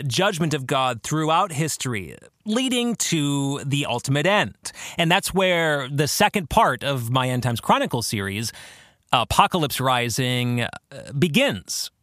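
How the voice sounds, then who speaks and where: Man, podcast host, higher-pitched voice, unhurried pace, deliberate emphatic phrasing, explanatory monologue. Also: fairly bright tone, no room sound, studio quality.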